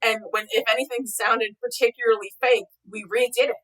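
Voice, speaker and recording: A woman's voice: on the high side, steady tone, brisk, fairly bright tone. A woman chatting casually as an interview guest, a podcast creator on a video call. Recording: slightly distant video call mic.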